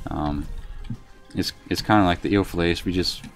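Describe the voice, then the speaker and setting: Soft-spoken man streaming a game. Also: sits low, steady tone, faint music behind. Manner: reserved, unhurried, talks while playing. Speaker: live streamer. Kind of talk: casual gameplay commentary, reading chat.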